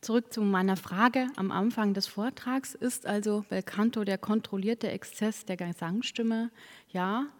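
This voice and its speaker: A woman giving a formal academic lecture, reading in a measured way and occasionally correcting herself mid-phrase. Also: medium pitch, fairly even intonation, darkish tone. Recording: long reverb in a hall.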